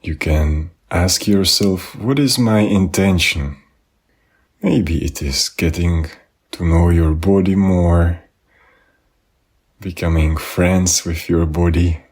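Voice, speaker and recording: Guy doing guided meditation, slow n gentle, kinda breathy husky voice, leaves pauses. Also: sits low. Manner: gentle, unhurried instruction with pauses. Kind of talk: guided body scan meditation.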